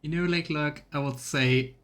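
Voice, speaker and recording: A man's voice: a little high, steady tone, moderate pace, a bit bright. A man giving casual, musing commentary while streaming a game, often trailing off mid-thought. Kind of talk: casual live let's play commentary.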